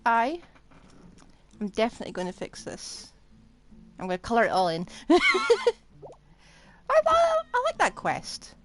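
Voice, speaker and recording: A woman's voice: fairly high pitch, huge pitch swings, measured pace, pauses often, over music. A woman livestreaming a game, chatting casually and thinking aloud as she rambles along.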